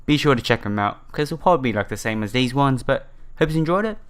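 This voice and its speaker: A man's voice: medium pitch, expressive, fast, clean tone. A man doing casual, informal playthrough commentary, reading story text aloud and talking to his viewers. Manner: informal, addresses viewers directly. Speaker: let's play host. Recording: music playing behind the voice. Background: game music.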